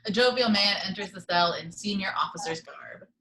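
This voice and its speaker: A woman narrates scene headings and action from a script. Her voice is of medium pitch, in the scripted reading style of an amateur table read. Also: normal speed, bright, forceful tone, rough recording.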